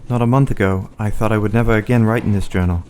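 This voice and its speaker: A man in a radio play acting a devoted, sombre young Victorian husband, with a smooth voice and earnest, literary diction. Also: fairly low pitch, steady tone, quick pace, warm tone, a little hiss or hum, natural voice. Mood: sombre, resolved.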